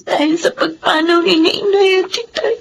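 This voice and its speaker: An actress playing a sorrowful, grieving young woman in a radio drama, speaking haltingly. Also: very high-pitched, creaky, slight background noise. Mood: grief-stricken. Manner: slow, halting, tearful. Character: Trisha, grief-stricken young orphaned woman blaming herself. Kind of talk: Filipino radio drama acting. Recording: voice under dramatic music.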